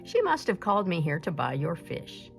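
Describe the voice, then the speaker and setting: A woman's voice: lowish voice, very animated, moderate pace, vocal fry, soft background music. A woman voicing a graceful, cheerful young lady customer in a storybook, amused and courteous. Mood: admiring.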